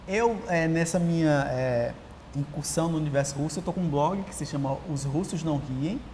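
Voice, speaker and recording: A Brazilian man in his thirties, a writer and guest, talking in a casual interview with lively storytelling and many restarts, recorded on a lapel mic in a large empty auditorium. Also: fairly high pitch, moderate pace. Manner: animated storyteller, frequent restarts. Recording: long hall reverb, faint hiss.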